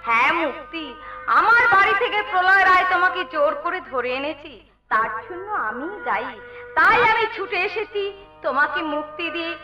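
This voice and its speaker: A worldly, resolute woman in stage melodrama. She declaims emphatically in a very high voice. The sound is echoey, with music underneath. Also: animated, normal speed. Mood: urgent, resolute. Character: bold, resolute worldly woman turned rescuer. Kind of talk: Bengali jatra folk theatre melodrama.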